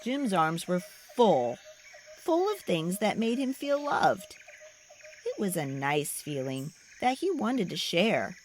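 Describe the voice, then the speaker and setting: A woman reading a children's picture book aloud as a storyteller, with an expressive, dramatic delivery. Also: medium pitch, takes it slowly, slight background noise.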